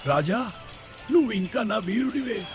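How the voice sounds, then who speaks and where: A man's voice: sits high, animated, normal speed. A man playing a devoted, earnest king in a cartoon story. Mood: proud, tender. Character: devoted king proud of his old war elephant. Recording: faint music behind.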